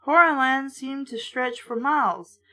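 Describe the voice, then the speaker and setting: A woman's voice: high-pitched, a little slow, pushed and bright. A woman narrating a storybook aloud as host, breaking off into blunt, sardonic asides in a casual way. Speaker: host reading a children's horror book aloud with commentary.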